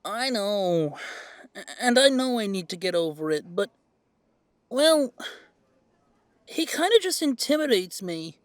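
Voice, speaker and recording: A man's voice: high-pitched, sing-song, unhurried, long pauses, no echo. A man playing an anxious, good-natured character who is easily intimidated, speaking hesitantly and with exasperation in an audio drama. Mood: defensive, flustered. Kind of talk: audio roleplay drama acting.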